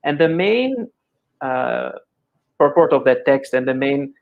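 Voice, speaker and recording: A middle-aged Dutch-born man who speaks English with an Indian accent, explaining yoga as an interview guest in a measured, gentle way with many fillers and repeated words. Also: mid-range voice, big pitch swings, creaky, phone-quality sound. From Netherlands. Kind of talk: thoughtful conversational explanation.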